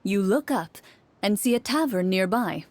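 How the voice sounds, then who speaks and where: An actress playing a composed game master who narrates a tabletop adventure in a measured storytelling way. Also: female voice, medium pitch, lively intonation, a little mellow, no echo, close to an everyday voice. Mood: measured, evocative. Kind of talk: scripted narration.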